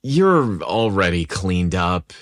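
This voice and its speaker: A man's voice: deep voice, big pitch swings, slow, no room sound, studio quality, heavily acted. Man voicing a hesitant, rugged young man. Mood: hesitant, polite. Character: polite, tired, rugged young male construction manager. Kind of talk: audio story character dialogue.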